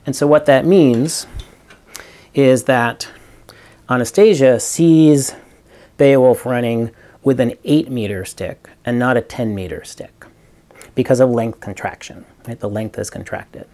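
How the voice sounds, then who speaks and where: A man's voice: mid-range voice, a little slow, dark tone. A man in his fifties, a physics professor recording a video lecture in a small room, explaining with toy props and thinking aloud with ums. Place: small room in front of a green wall.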